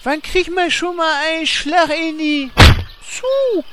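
A brutal, hot-tempered warrior, voiced by a woman. The voice sits high and the delivery is slow and blunt, with crude shouted outbursts. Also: expressive, very noisy, heavily acted. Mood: gruff.

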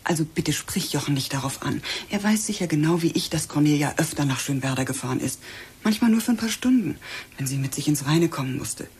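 A woman's voice: deep voice, lively intonation, fairly quick, darkish tone, breathy edge, exaggerated voice. A woman playing an anxious, considerate hostess with a conciliatory manner in a radio drama. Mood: concerned.

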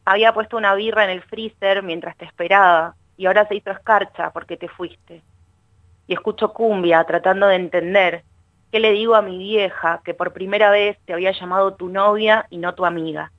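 A woman's voice: mid-range voice, steady tone, brisk, dry recording. Argentine woman poet, dull lo-fi sound. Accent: Rioplatense Argentine. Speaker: guest poet. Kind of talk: poetry reading and casual chat.

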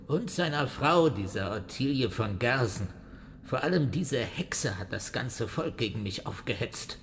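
A servile, aggrieved bailiff in a historical radio drama. He fawns and complains defensively in a mid-range voice, with slight rumble behind him. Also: lively intonation, a little slow. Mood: indignant. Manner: fawning, defensive, agitated complaining. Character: obsequious, aggrieved male bailiff and tax collector.

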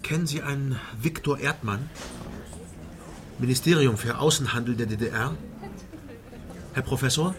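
An actor playing a young male private detective in a radio crime drama, with a dry, measured, literary way of talking. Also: mid-range voice, slight background noise, natural voice. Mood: insistent, probing. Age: young adult.

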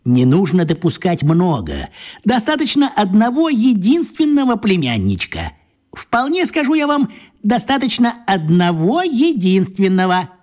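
A sly, husky little old wizard. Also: male voice, sits high, wildly swooping pitch, measured pace, darkish tone, exaggerated voice. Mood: crafty, folksy. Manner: coaxing, crafty, folksy. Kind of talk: children's radio play acting.

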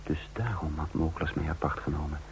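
An actor playing an uneasy impostor agent in an old radio drama, narrating his intrigue in a deliberate, hushed manner. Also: very deep voice, creaky, slight background noise. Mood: hushed, alarmed. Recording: muffled old radio play recording.

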